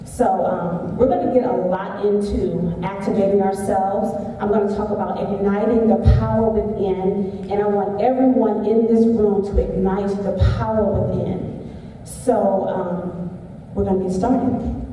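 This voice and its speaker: Soft-voiced woman in an echoey hall. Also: mid-range voice, fairly even intonation. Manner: gentle, halting with many ums. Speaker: workshop speaker. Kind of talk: motivational workshop instruction. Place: event room at a university. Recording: echoey hall from far off, noisy.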